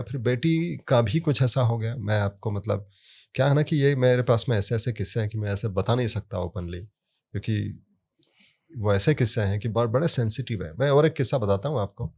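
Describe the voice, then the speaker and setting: A low-pitched man speaks in an easy, explaining way, weaving family stories into his points. He pushes sudden emphasis onto the words that matter, then drops back to a calm, even tone. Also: gravelly. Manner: storytelling with anecdotes, emphatic rises on key words. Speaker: sound therapy guest. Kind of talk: conversational explaining.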